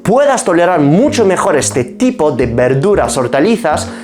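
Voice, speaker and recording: A man's voice: fairly high pitch, sing-song, moderate pace, music in the background. A man from France with a French accent in his Spanish, a pharmacist explaining his diet to camera, asking and answering his own questions. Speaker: pharmacist and nutrition YouTuber. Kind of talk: explanatory nutrition talk to camera.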